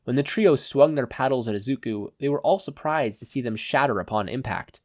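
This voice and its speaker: A man's voice: mid-range voice, lively intonation, fairly quick, a little mellow, dry recording, clean studio sound. A man giving a scripted narration of a fan fiction story as an audiobook reader. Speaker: podfic narrator.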